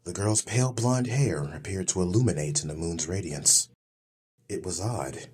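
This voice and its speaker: A man's voice: deep voice, normal speed, darkish tone, husky. A man reading scripted fantasy audiobook narration as the storyteller.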